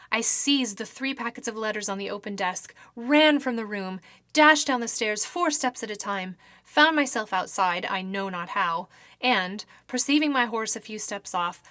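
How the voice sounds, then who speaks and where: A woman's voice: medium pitch, bright, forceful tone, no room sound, clean studio sound. A woman reading a first-person horror story as dramatic scripted narration, with theatrical, precise delivery. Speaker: story reader.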